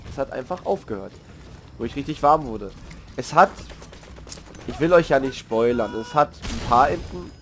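A man's voice: mid-range voice, expressive, normal speed, soft background music. A man who has a cold, casually reviewing a video game while he plays, rambling with fillers and slang asides. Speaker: gamer giving a review. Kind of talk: casual game commentary over gameplay.

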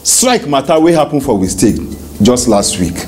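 Bright-voiced Nigerian man hosting a talk show. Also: medium pitch, big pitch swings, normal speed. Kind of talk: presenting a studio talk show in Pidgin. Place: small indoor TV studio.

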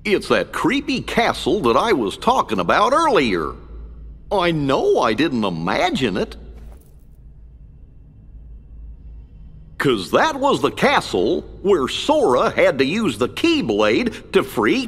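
A man voicing a good-hearted, slow-witted cartoon dog in a video game, with a husky, folksy, bumbling drawl. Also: on the high side, wildly swooping pitch, fairly bright tone, full character voice. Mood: worried. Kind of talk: video game cutscene voice acting. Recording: long hall reverb.

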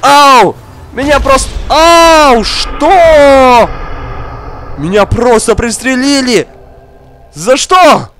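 A young man, slightly under the weather, giving excitable casual gameplay commentary, exclaiming, repeating words and talking straight to the viewer. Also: extremely high pitch, very slow, pauses often, slight background noise. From outside Russia. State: slightly ill, coughing now and then. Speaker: gaming streamer. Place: indoor room, seated at racing wheel.

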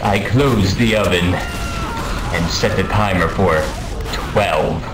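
A man telling a mock-dramatic comic story over game voice chat, pompous and deliberate, with profanity thrown in. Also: sits low, over music. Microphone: gaming voice chat microphone. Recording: roomy, noisy game voice-chat audio.

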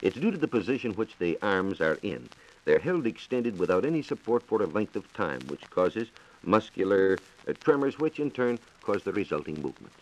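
An American man explaining science in a measured, formal way on a 1940s radio program. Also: lowish voice, very animated, low-quality recording. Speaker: science reporter and radio co-host. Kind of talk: 1940s radio science talk, answering questions. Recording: 1940s radio transcription disc.

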